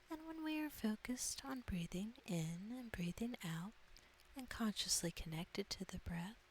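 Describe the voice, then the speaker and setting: A woman softly guiding an ASMR meditation in a breathy near-whisper, gentle, soothing and deliberate. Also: medium pitch, low-quality recording. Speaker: guided meditation host. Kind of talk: soft-spoken ASMR guided meditation. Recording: faint background hiss.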